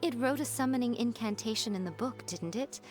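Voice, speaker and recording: A woman's voice: a little high, expressive, darkish tone, faint music behind, natural voice. A woman playing a sly, self-assured shrine maiden in a video game, poised and teasing in manner. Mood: teasing, scheming. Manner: teasing, poised, unhurried explaining. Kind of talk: video game character acting.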